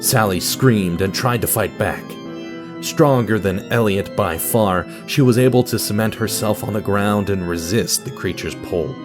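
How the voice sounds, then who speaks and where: A man narrating a scripted story as a dramatic storyteller, with deliberate pauses and direct address to the listener. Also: fairly low pitch, over music.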